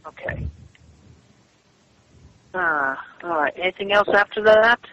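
A man in a halting phone conversation, speaking in a stilted, deliberate way and repeating himself, over a muffled line. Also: high-pitched, pushed and bright. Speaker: person on a phone call.

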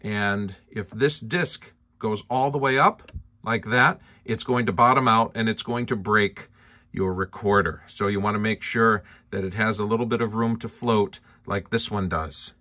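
A man's voice: on the low side, a little slow, bright, forceful tone. A man giving a casual hands-on demonstration at an indoor workbench, explaining methodically one step at a time. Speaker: presenter of a hands-on tutorial. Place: indoor workshop bench.

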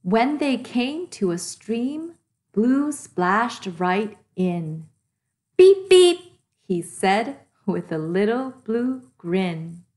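A woman hosting storytime, reading a children's picture book aloud in a deliberate, expressive way to young listeners. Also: medium pitch. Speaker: storytime host and reader.

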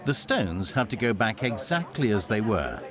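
A man reading scripted documentary narration in a measured, storytelling manner. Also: fairly low pitch, big pitch swings, a crowd in the background. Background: music.

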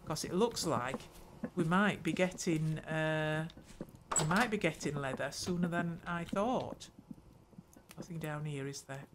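An older woman hosting a gameplay video, muttering her thoughts aloud in an unhurried way. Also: deep voice, background noise. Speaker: gaming channel host. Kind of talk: let's play commentary.

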